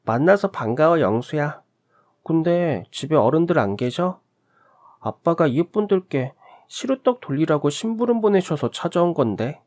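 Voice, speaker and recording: A friendly, eager young boy with a high, lifted voice, as a male narrator puts him on. He sounds polite and keen to make a new friend, like a storybook neighbor kid. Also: very animated, moderate pace, darkish tone.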